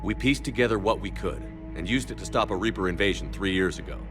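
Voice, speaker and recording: An actor playing a resolute military commander, speaking in a firm, measured, plain way. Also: male voice, fairly low pitch, music in the background, natural voice.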